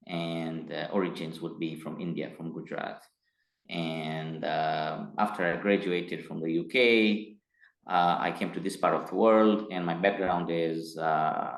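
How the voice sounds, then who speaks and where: Deep-voiced middle-aged man in a roomy space. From Tanzania. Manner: measured, hesitant with frequent uh fillers, grows emphatic. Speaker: guest, company executive. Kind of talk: interview conversation. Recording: roomy echo.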